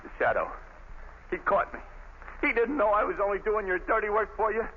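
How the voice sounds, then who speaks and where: Doomed young convict, high, volatile, tinny vintage radio sound. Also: male voice, very animated, a little fast. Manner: swings from hushed dread to shouting. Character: young condemned male killer, desperate and bitter. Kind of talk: 1930s radio drama acting. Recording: tinny old radio broadcast, faint hiss.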